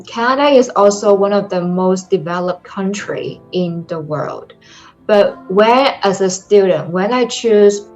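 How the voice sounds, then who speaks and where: A woman's voice: medium pitch, dull audio. A young woman from China with a Chinese accent, giving an online presentation in careful English with frequent ums. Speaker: presenter for a study-abroad program.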